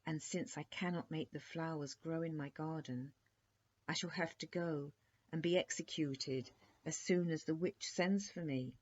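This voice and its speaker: A woman's voice: deep voice, unhurried. A woman voicing a polite, resigned young prince in a storybook, speaking formally and courteously. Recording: dull lo-fi recording.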